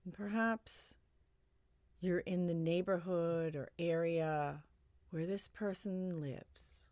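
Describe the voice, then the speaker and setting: A low-voiced woman narrates a guided meditation in a close, dry recording. She offers soothing, gentle suggestions at a very unhurried pace, leaving long pauses between phrases. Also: dry recording.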